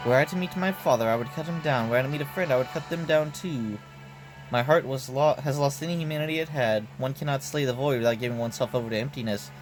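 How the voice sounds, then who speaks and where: A man's voice: medium pitch, fast talker, close to an everyday voice, low-quality recording. A man voicing a merciless demon swordswoman in game dialogue, with a cold, solemn and formal delivery. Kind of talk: game dialogue read aloud. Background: background music.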